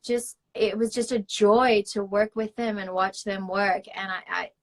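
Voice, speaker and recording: A woman's voice: mid-range voice, flat delivery, unhurried. A woman in her thirties from Australia, an actress hosting a casual live-stream chat, gushing and full of "like" fillers.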